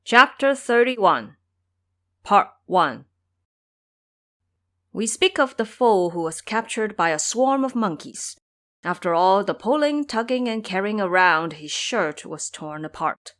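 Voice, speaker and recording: A woman's voice: medium pitch, lively intonation, slow pace, fairly bright tone. A woman narrating a scripted audio drama as a storyteller.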